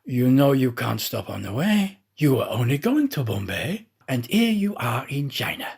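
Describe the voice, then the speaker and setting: Man putting on a bantering manservant, husky-voiced. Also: mid-range voice, big pitch swings, normal speed, full character voice. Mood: teasing, jovial. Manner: bantering, jovial. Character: bantering, hearty French manservant, sly and teasing.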